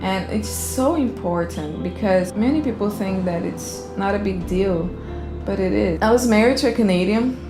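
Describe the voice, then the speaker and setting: A woman's voice: medium pitch, normal speed, roomy sound, over music. A young woman from Brazil with a Brazilian accent, talking casually to camera in English as her second language. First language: Portuguese. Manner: chatty, informal, many fillers. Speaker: vlogger.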